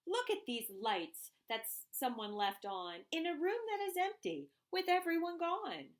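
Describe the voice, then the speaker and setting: A woman reading a rhyming children's picture book aloud, expressively and deliberately, with emphasised phrases. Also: sits high, very animated.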